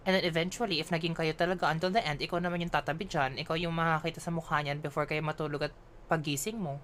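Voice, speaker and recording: A woman's voice: sits low, fairly even intonation, rapid-fire, fairly bright tone, a little hiss or hum. A woman with a Filipino accent chatting and ranting casually while livestreaming a game, mixing English and Tagalog. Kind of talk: casual gaming stream chat.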